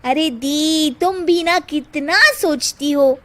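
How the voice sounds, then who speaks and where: A woman's voice: high voice, slow, pitched above the performer's own voice. A woman voicing a mischievous teenage girl who loves pranks, talking in a giggly, teasing way for a cartoon story. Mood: playful.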